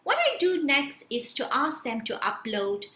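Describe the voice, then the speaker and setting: Malaysian woman presenting, bright voice, roomy sound. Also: sits high, wildly swooping pitch, a little slow. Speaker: senior lecturer in English, conference presenter. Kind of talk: prepared academic presentation. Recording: roomy echo, faint background hiss.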